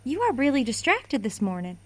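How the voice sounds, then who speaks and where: A woman's voice: sits high, big pitch swings, fairly quick. A woman playing a blunt, gossipy friend. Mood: earnest. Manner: blunt and plainspoken. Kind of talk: radio drama acting.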